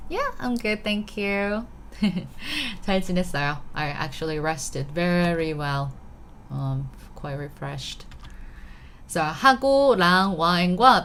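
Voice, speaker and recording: A woman's voice: fairly low pitch, a bit bright, slight background noise. A woman from Korea with a Korean accent, teaching a live online language lesson in a chatty manner, often checking in with her students. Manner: switches between English and Korean.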